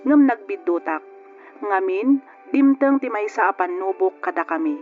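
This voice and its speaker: A man's voice: high-pitched, animated, moderate pace. A man with an Ilocano accent reading a letter as scripted narration for a radio drama. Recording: muffled lo-fi radio recording. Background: music.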